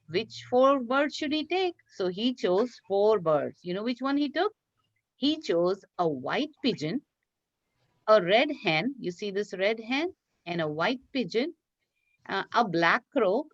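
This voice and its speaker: Casting: female children's teacher, fairly high voice, animated read-aloud with questions and repetition, dull online-class sound. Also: dry recording. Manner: animated, explanatory, repeats phrases and questions listeners.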